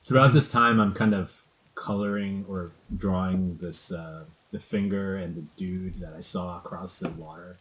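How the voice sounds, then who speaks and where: A man's voice: low-pitched, steady tone, measured pace, fairly bright tone, poor audio. A man casually roleplaying in a tabletop game, with an anxious, hesitant way of talking. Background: background music.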